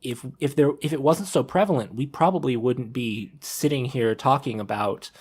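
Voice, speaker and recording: A man's voice: medium pitch, normal speed, darkish tone, slightly rough. A man talking casually on a podcast panel, rambling a little and repeating words as he thinks aloud.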